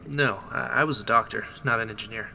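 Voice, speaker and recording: A man's voice: mid-range voice, a little fast, gravelly, poor audio. A man playing a cautious male rebel who was once a doctor, in a sci-fi audio drama, wary and skeptical. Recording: loud noise behind the voice.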